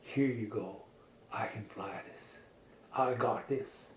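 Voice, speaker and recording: A man's voice: on the low side, takes it slowly. A man voicing an eager, proud talking truck in a children's story, with a folksy, self-assured manner. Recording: echoey room with faint background noise.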